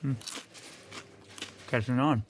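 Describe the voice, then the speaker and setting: An actor playing a weary, awkward middle-aged police detective in an audio drama. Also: male voice, medium pitch, slow, background noise, lightly acted. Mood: terse, businesslike.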